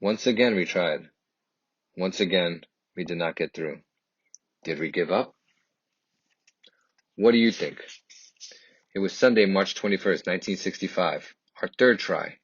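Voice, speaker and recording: A man reads a children's picture book aloud in a husky voice, with expressive, emphatic and dramatic phrasing. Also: low voice, a little slow, pauses often. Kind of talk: expressive read-aloud storytelling. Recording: dull, lo-fi, echoey room.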